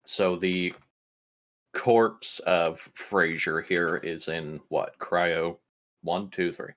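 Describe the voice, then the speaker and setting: A man's voice: low-pitched, steady tone, extremely slow, a bit bright. A man chatting casually as a player at a tabletop roleplaying game.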